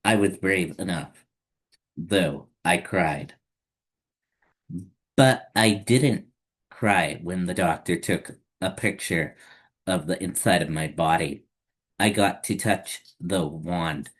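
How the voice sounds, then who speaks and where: Children's storytime reader: man, low register, unhurried delivery, read-aloud picture book narration. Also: fairly bright tone, breathy edge.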